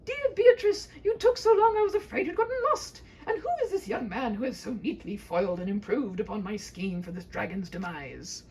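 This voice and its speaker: A woman's voice: medium pitch, animated, a little fast, few pauses, darkish tone, close to an everyday voice, low-quality recording. A woman voicing a huffy, absent-minded but doting old king and father in a humorous fairy-tale reading, effusive and blustering. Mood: delighted.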